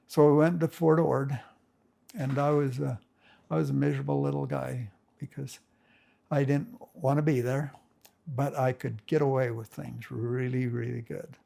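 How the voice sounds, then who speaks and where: Gravelly older man telling old stories. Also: medium pitch, little pitch movement, normal speed, warm tone. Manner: rambling, folksy grammar, frequent restarts. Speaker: family storyteller. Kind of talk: casual spoken reminiscence.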